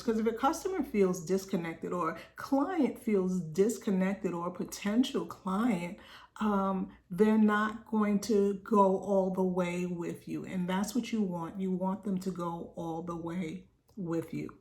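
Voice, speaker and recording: Slightly raspy woman coaching on a call. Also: mid-range voice, measured pace, darkish tone. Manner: conversational, often tags sentences with "right?"; reads quoted passages aloud, then explains. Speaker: business coach and host. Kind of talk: teaching on a video call. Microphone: video call.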